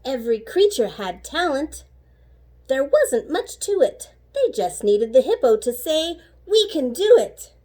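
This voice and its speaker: A woman reading a children's story aloud like a teacher, animated and rhythmic with the rhymes. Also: high voice, unhurried. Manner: animated, rhythmic rhyming delivery.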